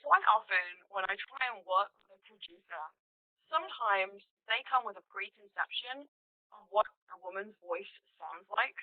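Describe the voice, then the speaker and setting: A woman with a deep contralto voice, speaking as a metal vocalist in an interview, animated and emphatic. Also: moderate pace, no echo. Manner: animated, emphatic, laughs between points. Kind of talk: conversational interview. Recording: tinny phone-line audio, dry.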